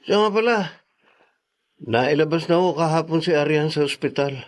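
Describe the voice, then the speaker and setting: A man's voice: on the high side, normal speed, natural voice. A man with a Filipino accent playing a respectful, mild-mannered young son in a radio drama. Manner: polite.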